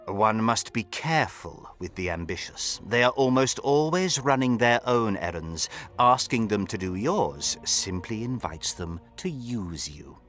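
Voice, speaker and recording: A man's voice: on the low side, sing-song, a little slow, hardly any pauses, a bit bright. A man playing a pompous, sly aristocratic count in a video game, speaking in a theatrical, florid courtly manner with mocking, suggestive emphasis. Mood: sly, evasive. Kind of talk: video game character dialogue.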